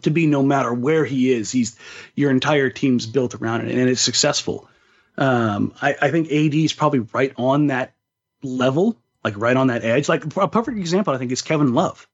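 A man's voice: medium pitch, normal speed. A man co-hosting a sports podcast, chatting casually about basketball with slangy, emphatic phrasing. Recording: slightly dull, lo-fi voice recording.

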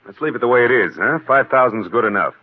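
A man's voice: medium pitch, animated, fast, breathy edge. A man playing a shifty, hard-boiled philandering husband in a 1940s radio drama, talking in a clipped, evasive, casual way. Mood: angry, alarmed. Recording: tinny old radio broadcast.

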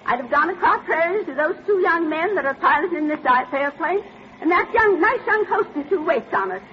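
A woman's voice: very high voice, expressive, brisk. An actress playing a feisty, plainspoken old American pioneer woman with a homespun manner in an old-time radio drama. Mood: warmly firm. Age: older. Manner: homespun, spirited. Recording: muffled old radio, droning noise behind.

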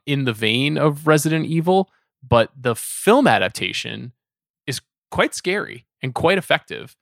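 A man's voice: medium pitch, fairly bright tone, no echo. Male podcast host, dry close sound. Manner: conversational, asks the guests questions. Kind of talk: casual film discussion.

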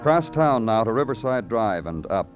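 An actor playing a terse, patient police detective in a 1950s radio crime drama, with a clipped, deadpan delivery. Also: male voice, fairly low pitch, moderate pace. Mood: wry narration. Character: terse, dry, patient male police detective narrating his case. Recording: dull old radio recording, music behind.

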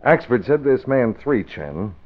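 An actor playing a serious, authoritative newspaper boss who reasons in measured phrases in an old-time radio drama. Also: male voice, medium pitch, sing-song. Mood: thoughtful. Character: serious, deductive male newspaper boss and secret crimefighter. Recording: thin, narrow-band vintage radio recording.

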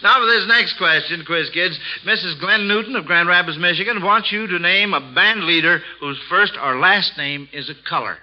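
A man's voice: sits high, moderate pace, hardly any pauses. A middle-aged American man hosting a 1940s radio quiz show in a folksy, encouraging, chatty way with children. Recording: dull lo-fi old radio recording.

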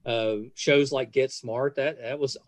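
A middle-aged man hosting a casual podcast interview, speaking in a gushing, effusive way with halting phrasing. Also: medium pitch, fairly even intonation, no echo. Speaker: podcast host interviewing guests.

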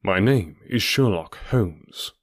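A man's voice: low-pitched, lively intonation, takes it slowly, no echo, studio quality. A man voicing a cool, commanding male detective in an audiobook, clipped and authoritative. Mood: cold command.

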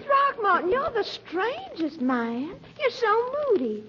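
High, coy Southern belle. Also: female voice, sing-song, slow, over a noisy crowd, exaggerated voice. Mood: coaxing, piqued. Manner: gushing, coaxing, coy. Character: coy, coquettish, bossy Southern lady friend. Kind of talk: 1940s radio comedy acting. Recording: dull, noisy vintage radio recording.

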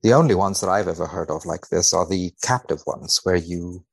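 A man with a very deep, gravelly voice speaks as a researcher guesting on a podcast. His wording is measured and a little formal, whether commenting or reading aloud, and the recording is dry with no room sound. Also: no echo.

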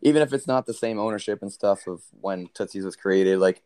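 A young man, a country singer, telling a story in a relaxed interview with many fillers; he has a low baritone voice. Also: brisk.

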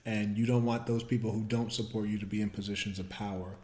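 A man's voice: on the low side, fast, roomy sound. A man chatting casually and candidly as host, talking about his own book. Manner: relaxed, candid, with casual profanity. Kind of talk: casual chat.

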